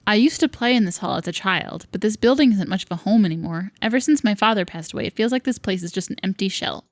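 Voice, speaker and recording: A woman voicing a wistful but quietly defiant young servant, reading visual novel lines aloud in a reflective way. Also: medium pitch, fast talker, natural voice. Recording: music behind the voice.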